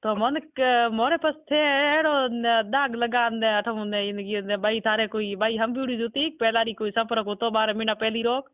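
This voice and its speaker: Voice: mid-range voice, fairly even intonation, moderate pace, hardly any pauses, no room sound. Rajasthani accent, insistent questioning, informal talk, dry narrow-band phone recording. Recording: muffled band-limited phone call recording.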